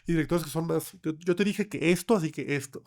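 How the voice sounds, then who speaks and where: A slightly hoarse man with a Mexican accent explaining things conversationally as a podcast guest, often ending on tag questions. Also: fairly high pitch, quick pace, darkish tone. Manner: checks in with frequent tag questions. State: slightly hoarse from getting over a cold. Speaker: podcast guest, film researcher.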